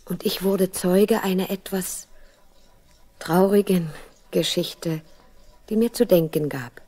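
Slow, reflective young letter writer. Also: female voice, lowish voice, mellow. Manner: reflective, unhurried, intimate. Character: lonely young woman trainee writing to her mother. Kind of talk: radio play letter narration.

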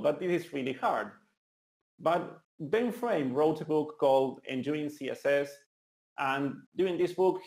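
Argentinian man in a roomy hall. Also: fairly high pitch. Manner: earnest, enthusiastic, some restarts. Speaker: conference speaker. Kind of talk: tech conference talk. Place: meetup venue hall.

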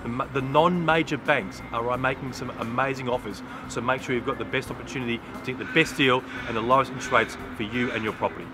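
Middle-aged Australian man, mid-pitched, enthusiastic agency-update read to camera, outdoors on a lapel mic, music bed clearly audible. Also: steady tone, fast, few pauses. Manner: upbeat, promotional, emphatic repetitions. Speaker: real estate agency principal giving a market update. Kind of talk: monthly market update to camera. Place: outdoors by a garden bed under an umbrella. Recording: music playing under the voice. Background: background music.

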